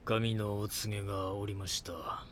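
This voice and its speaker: An actor playing a messianic cult leader in an audio drama, speaking in solemn, pompous, preacher-like pronouncements. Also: male voice, lowish voice, level tone, moderate pace, fairly bright tone, a little hiss or hum, lightly acted. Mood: solemn, prophetic.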